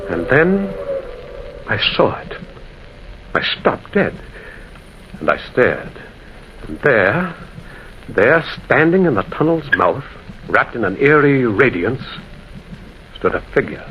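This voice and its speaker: An actor playing a concerned railwayman in an old radio drama, telling a ghostly encounter in a dramatic, deliberate, urgent way. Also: mid-range voice, sing-song. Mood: awed, grave. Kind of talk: 1940s radio acting, dramatic first-person narration. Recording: dull, hissy old radio recording.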